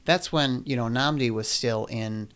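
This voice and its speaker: A man in his twenties talking casually in an interview, relaxed and rambling with many fillers. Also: mid-range voice, measured pace, clear, resonant tone. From Illinois, Midwest US. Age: 20s. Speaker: musician guest.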